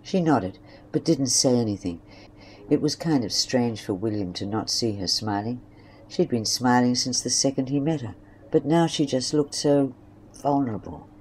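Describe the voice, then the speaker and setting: A woman's voice: very deep voice, moderate pace, darkish tone, slight background noise, low-quality recording. A woman reading scripted narration for an audio drama story.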